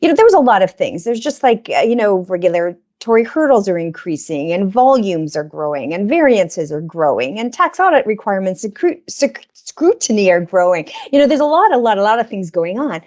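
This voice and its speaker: A woman's voice: mid-range voice, sing-song, brisk, hardly any pauses, darkish tone. Woman talking business in conversational style. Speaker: research consultant and business owner, guest speaker.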